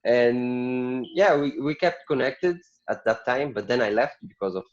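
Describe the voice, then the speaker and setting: A man from Portugal, a podcast guest telling his background in a candid, warm way. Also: mid-range voice, measured pace. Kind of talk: casual interview storytelling. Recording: dull lo-fi call audio.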